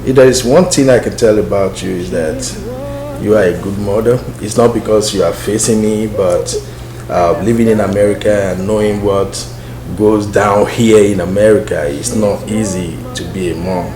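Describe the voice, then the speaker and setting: A man's voice: fairly low pitch, fairly even intonation, moderate pace, echoey room. A man hosting a podcast interview, playful and teasing, laughing often. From Nigeria. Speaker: podcast host. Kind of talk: casual interview chat.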